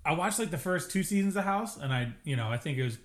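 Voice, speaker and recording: A man's voice: a little high, lively intonation. A young man co-hosting a podcast, chatting casually and jokingly about a TV episode. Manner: chatty, jokey, quick asides. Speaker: podcast co-host. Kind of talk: casual TV recap chat.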